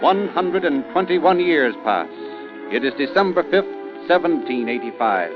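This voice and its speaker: A man giving scripted, suspenseful narration in a deliberate, theatrical old-time radio style. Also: a little high, big pitch swings. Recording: dull old radio recording. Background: music.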